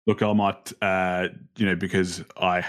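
A man's voice: low voice, little pitch movement, a little slow, fairly bright tone. A man with an Australian accent, a guest on a podcast, trading wry, joking banter. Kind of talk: casual podcast chat.

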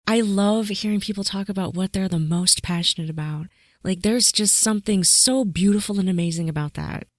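A woman's voice: mid-range voice, fairly quick, no echo, studio quality. A woman hosting a podcast interview, effusive and encouraging as she wraps up and signs off. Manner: warm, effusive hosting, "so exciting". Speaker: podcast host.

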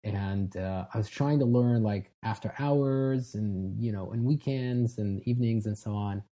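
A man in his thirties from Israel, a guest telling his story in a relaxed, casual way with frequent restarts. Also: lowish voice, a little slow. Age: 30s. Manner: relaxed, frequent restarts and fillers, self-deprecating. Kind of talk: casual interview talk.

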